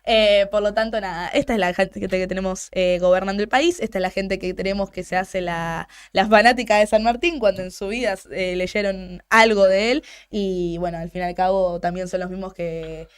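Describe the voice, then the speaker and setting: A woman's voice: mid-range voice, steady tone, moderate pace, hardly any pauses, clear, resonant tone. Outspoken Argentine woman radio host. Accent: Argentine Spanish. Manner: outspoken, critical, restarts and repeats words. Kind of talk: political commentary on a talk show. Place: radio studio.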